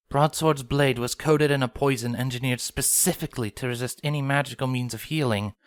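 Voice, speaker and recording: An actor voicing a regal, protective pony sun princess, composed and sorrowful, with measured, formal delivery in a dramatic fan fiction reading. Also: medium pitch, no echo, close to an everyday voice.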